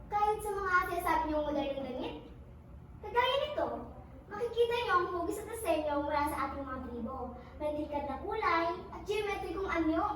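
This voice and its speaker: Woman acting spirited old-time granny weaver, very high voice, declamatory stage delivery, echoey distant hall. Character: spirited old grandmother weaver transported from the past. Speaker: actor in a school one-act play. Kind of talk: stage play acting in Filipino. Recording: echoey hall, distant mic, faint noise.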